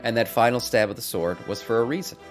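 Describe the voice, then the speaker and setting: A man's voice: medium pitch, fast talker, over music. A man giving casual, conversational commentary on game lore as a streamer, repeating phrases for emphasis. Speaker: gaming content creator and streamer.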